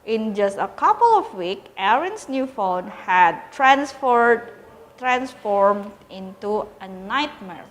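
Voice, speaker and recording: A woman reading a children's story aloud as an expressive narrator, in a reverberant room with faint noise. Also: medium pitch, slow. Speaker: story reader.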